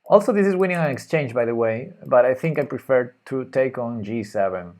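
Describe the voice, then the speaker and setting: A man with a Spanish accent plays chess live and talks through his moves as he goes. His voice sits at a middling pitch, and he mutters and hesitates while he thinks, often trailing off into quiet asides. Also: animated, brisk. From Spain. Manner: thinking aloud, hesitant, muttering move names. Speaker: chess streamer.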